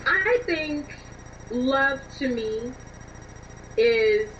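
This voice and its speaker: Female interview guest, earnest writer explaining herself, slightly high voice, recorded at a distance with faint noise. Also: animated, very slow. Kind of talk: reflective interview conversation. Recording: dull lo-fi, distant, noisy line.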